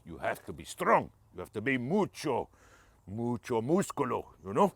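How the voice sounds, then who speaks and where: A man's voice: mid-range voice, normal speed, vocal fry, slightly rough, no room sound, pitched below the performer's own voice, heavily acted. A man voicing a cheeky, boastful Spanish man reviewing a car in broken English with a put-on Spanish accent, joking as he goes. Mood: jokey. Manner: jokey, broken English, lively swoops.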